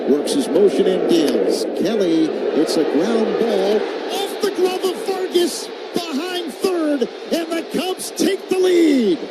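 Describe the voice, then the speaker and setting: A man calling live baseball play-by-play on the radio in clipped phrases that build with the action. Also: a little high. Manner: clipped phrases, builds to shouts on big plays. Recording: loud constant stadium noise behind.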